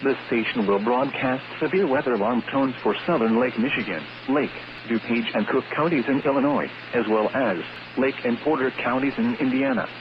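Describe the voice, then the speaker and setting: A man reads a scripted emergency weather bulletin in a formal, measured, synthetic delivery. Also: mid-range voice. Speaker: weather radio announcer. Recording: dull, noisy weather radio broadcast.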